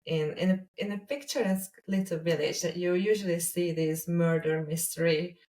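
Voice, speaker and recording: A woman with a Hungarian accent in a reflective conversational discussion as a podcast co-host, speaking deliberately with pauses mid-sentence. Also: lowish voice, fairly even intonation, dark tone, recorded from a distance. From Hungary, a small village.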